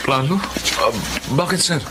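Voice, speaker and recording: A man's voice: fairly high pitch, expressive, unhurried, noisy background. A man playing a humble family driver in a Filipino radio drama, deferential, hesitant and pleading. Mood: worried. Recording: loud music and vehicle sound behind.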